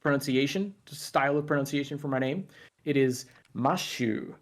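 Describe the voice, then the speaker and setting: A man chatting casually as a guest, relaxed and careful as he explains. He tells his stories at an easy, measured pace. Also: mid-range voice. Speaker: guest YouTuber. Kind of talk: casual conversation.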